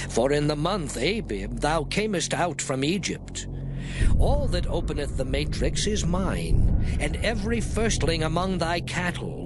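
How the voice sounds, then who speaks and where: Moses: stern prophet, slow commanding delivery, highish voice. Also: male voice. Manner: solemn, commanding declamation. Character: Moses, stern male prophet giving the law. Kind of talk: dramatized scripture reading. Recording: studio audio drama with loud music behind.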